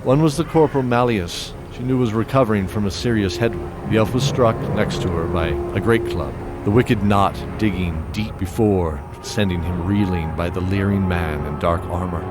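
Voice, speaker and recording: A man narrating a fantasy audio drama in a measured storytelling manner. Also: deep voice, loud music behind the voice.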